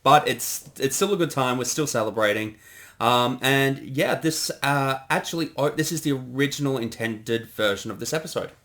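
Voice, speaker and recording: A man co-hosting a creator commentary in a relaxed, conversational, affable way. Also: medium pitch, normal speed. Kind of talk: casual commentary chat.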